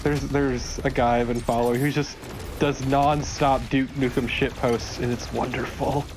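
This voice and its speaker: A man's voice: medium pitch, flat delivery, moderate pace. Man joking over gameplay, noisy background. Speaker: let's play co-commentator. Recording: clear background noise.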